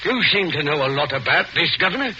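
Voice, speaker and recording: Stubborn attendant, muffled 1940s radio drama, highish voice, working-class, blunt, wary, loyal. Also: male voice, big pitch swings, fast talker. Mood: incredulous. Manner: blunt, colloquial, deferential to the lady. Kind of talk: 1940s radio mystery acting. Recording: noisy, dull 1940s radio recording.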